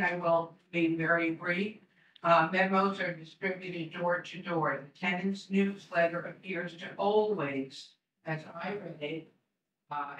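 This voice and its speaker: Older woman, tenant at a meeting, earnest and measured, distant roomy pickup. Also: on the low side, a bit bright. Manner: earnest, measured, with many pauses. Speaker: tenant giving public comment. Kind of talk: reading prepared public comment. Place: community room at a board meeting, seated. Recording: roomy sound from a distant mic.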